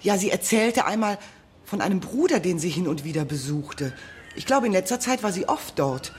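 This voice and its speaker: A woman's voice: fairly low pitch, animated, moderate pace, a little mellow, a little hiss or hum, lightly acted. An actress playing an anxious, talkative young mother and neighbour in a radio drama, speaking in a worried, confiding way. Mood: agitated, talkative.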